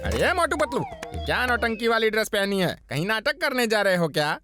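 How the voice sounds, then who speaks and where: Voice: medium pitch, big pitch swings, brisk, lightly acted. An actor voicing a suspicious, bullying cartoon man in a drawling, mocking, theatrical manner. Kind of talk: exaggerated Hindi cartoon voice acting. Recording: loud music behind.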